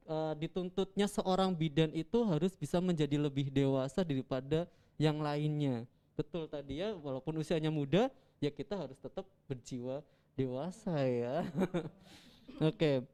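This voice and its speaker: A man's voice: a little high, dark tone, smooth. A young man hosting a live-streamed campus talk show, chatty and joking, laughing often.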